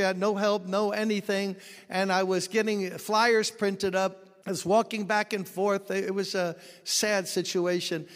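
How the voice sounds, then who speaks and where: A man's voice: high-pitched, smooth, in a large hall. A pastor preaching a sermon, explaining scripture and telling stories from his own ministry. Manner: expository preaching with personal stories.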